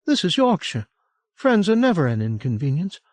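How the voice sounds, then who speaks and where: Chatty middle-aged woman, warm and teasing, lilting delivery with lively rises; a male narrator puts her on, dry close sound. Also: fairly high pitch, moderate pace, dry recording, pitched above the performer's own voice. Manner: lilting and chatty, drops to a hush. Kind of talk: audiobook character voice.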